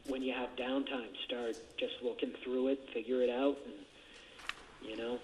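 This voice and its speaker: A man's voice: medium pitch, flat delivery, unhurried, a little mellow. A man chatting casually in a hesitant, rambling way over a jail phone line. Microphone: telephone handset. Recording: muffled, noisy jail phone call recording.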